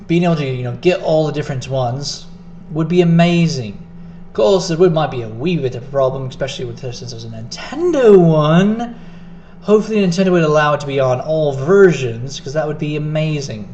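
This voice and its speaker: A man casually talking to the camera in a vlog, rambling with many fillers and self-corrections, his voice getting hoarse. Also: fairly high pitch, darkish tone, highs cut off, a little hiss or hum. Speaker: gaming video host. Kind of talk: casual unscripted vlog talk.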